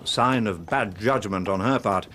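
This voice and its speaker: An actor playing a blunt, sardonic, sceptical Victorian police inspector, with a husky voice and an English accent, in a radio drama. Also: male voice, fairly low pitch, moderate pace, fairly bright tone. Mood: matter-of-fact.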